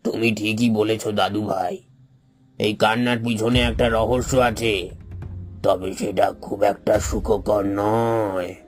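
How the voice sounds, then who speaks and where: A man playing a grave old village grandfather in an animated horror story, speaking deliberately as one holding a secret. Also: fairly low pitch. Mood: grave, ominous. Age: older.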